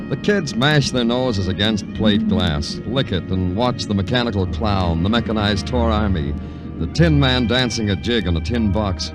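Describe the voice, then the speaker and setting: An actor playing a world-weary police detective, speaking with measured, poetic drama in 1950s noir radio style. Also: male voice, lowish voice, expressive, music in the background, natural voice. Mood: brooding. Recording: old radio broadcast recording.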